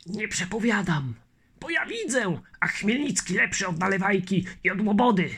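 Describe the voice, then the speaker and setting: A man's voice: high voice, lively intonation, normal speed, fairly bright tone, slightly rough, pitched above the performer's own voice, exaggerated voice. A man voicing a frightened old colonel. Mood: alarmed. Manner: anxious, pleading, crying to Christ. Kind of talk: audiobook character voice.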